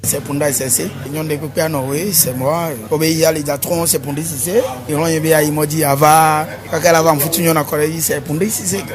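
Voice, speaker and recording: A man, a singer, giving a relaxed, informal interview at a nightclub after his show. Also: on the high side, quick pace, few pauses, crowd noise. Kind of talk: casual interview at a concert venue. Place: nightclub at a concert. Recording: music behind voice.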